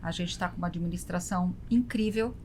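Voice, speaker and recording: Brazilian woman podcast guest, really emphatic, repeats words for punch, bit of low rumbly noise behind her. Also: fairly quick, a little mellow. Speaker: podcast guest, travel business veteran. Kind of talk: conversational interview talk.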